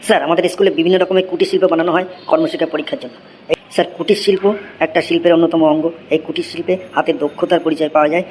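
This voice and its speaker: Over some background noise, a man acts out an eager schoolboy in a comedy cartoon. He recites like a rote essay, racing along at breakneck speed without letting up. The voice sits a little high. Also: little pitch movement, rapid-fire, darkish tone, close to an everyday voice. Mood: proud, reciting.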